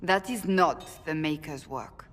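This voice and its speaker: An actress playing a stern, devout woman warrior in a video game cutscene, speaking gravely and formally. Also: deep voice. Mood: troubled, solemn. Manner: grave, formal, deliberate phrasing. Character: stern, devout woman warrior of a holy order, troubled and earnest. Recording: reverberant hall, faint background noise.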